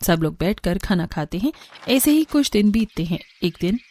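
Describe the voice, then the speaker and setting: A woman's voice: medium pitch, very animated, moderate pace, mellow. A woman reading scripted narration for a cartoon story.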